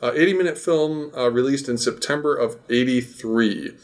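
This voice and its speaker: A man with a medium-pitched voice co-hosts a casual film podcast. He gives his views emphatically and with plenty of opinion. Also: normal speed. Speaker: podcast co-host.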